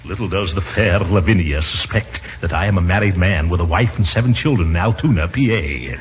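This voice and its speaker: A man's voice: low-pitched, a little fast, pitched below the performer's own voice, lightly acted. A man voicing a mean, smug melodrama villain with oily, theatrical delivery, in 1940s radio comedy style. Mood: scheming. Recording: muffled, noisy 1940s radio broadcast.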